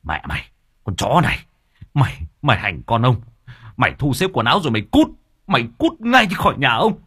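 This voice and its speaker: A man's voice: medium pitch, big pitch swings, brisk, slightly rough, pitched above the performer's own voice, full character voice. A man voicing a hot-tempered young village father, who rages and then turns tender. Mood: furious. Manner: shouting curses, then tearful tenderness.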